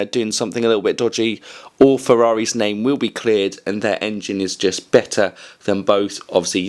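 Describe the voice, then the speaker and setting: A man hosting a weekly motorsport news show, croaky from a cold, rambling casually with lots of ums and restarts. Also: mid-range voice. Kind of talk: casual news commentary to camera.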